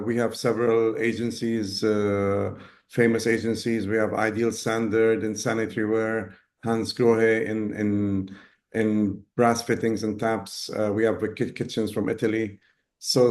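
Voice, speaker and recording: A man from Ghana in his fifties, talking as an interview guest, pausing often with fillers and restarts. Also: on the low side, darkish tone. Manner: hesitant, many fillers and restarts. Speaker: guest, business owner. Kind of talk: casual interview talk.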